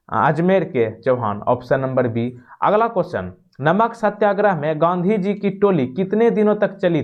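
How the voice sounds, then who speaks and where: A man teaching a quiz lesson, reading out questions, rephrasing them and giving answers in an explanatory way. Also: on the high side. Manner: explanatory, repeats and rephrases each question, checking tags. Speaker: exam-prep teacher. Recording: dull, lo-fi sound.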